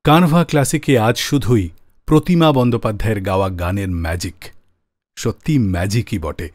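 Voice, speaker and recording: A man hosting a radio music show, narrating from a script in a measured storytelling way with dramatic emphasis. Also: lowish voice, darkish tone.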